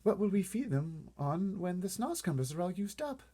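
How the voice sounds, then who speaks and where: A man's voice: high-pitched, big pitch swings, normal speed, mellow, husky, pitched above the performer's own voice, exaggerated voice. A man voicing a polite, composed and curious queen in a storybook reading. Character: curious, polite, regal queen, a grown woman.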